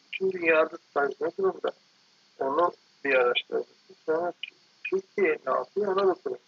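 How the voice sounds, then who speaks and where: A man talking casually and reflectively in an interview, halting and digressive, often correcting himself. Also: high-pitched, vocal fry, breathy edge, no echo, highs cut off. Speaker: architect guest being interviewed.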